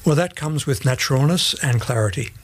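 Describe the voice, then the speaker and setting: A man's voice: medium pitch, a little fast. A middle-aged Australian man giving plain-spoken, methodical explanations as an interview guest. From Australia, grew up in Sydney. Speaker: interview guest, Bible translation advisor.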